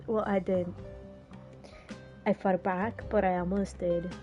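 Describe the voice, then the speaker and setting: A woman's voice: fairly low pitch, little pitch movement, slow pace, dark tone, muffled recording, faint music behind. A woman streamer giving chatty, half-joking commentary while playing a game. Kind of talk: casual gameplay commentary.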